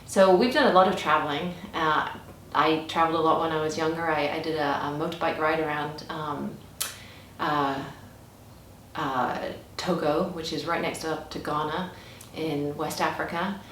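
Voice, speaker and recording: A middle-aged English woman telling travel stories in a chatty, animated way with many restarts. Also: low-pitched, normal speed. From England. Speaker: podcast guest. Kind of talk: casual conversational storytelling. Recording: roomy, distant mic with faint background noise.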